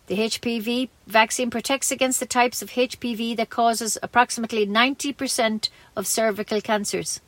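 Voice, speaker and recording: Higher-pitched Irish woman reading news. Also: fairly bright tone, no echo. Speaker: community radio news reader. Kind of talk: scripted local news reading.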